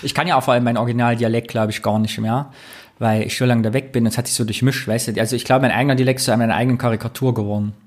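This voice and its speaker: A man's voice: mid-range voice, fairly even intonation, rapid-fire. A man co-hosting a casual podcast, reading listener comments aloud and telling anecdotes in a chatty way. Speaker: podcast co-host.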